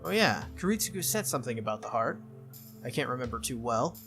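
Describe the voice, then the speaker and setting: Brief: male let's-play reader, earnest and steady, bright forceful tone, faint game music behind. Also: mid-range voice, fast talker. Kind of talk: reading visual novel narration aloud.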